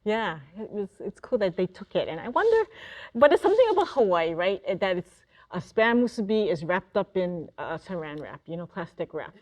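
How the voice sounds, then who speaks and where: A middle-aged woman, an author, leading a relaxed talk story session in a library classroom, chatty and quick to laugh. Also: medium pitch. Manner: chatty, laughs often, restarts sentences. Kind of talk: casual group discussion.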